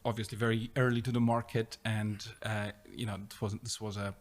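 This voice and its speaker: Middle-aged man from Afghanistan, low voice, interview guest, halting delivery with lots of ums. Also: flat delivery. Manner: hesitant, many fillers and restarts. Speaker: startup co-founder and CEO, guest. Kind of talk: conversational interview.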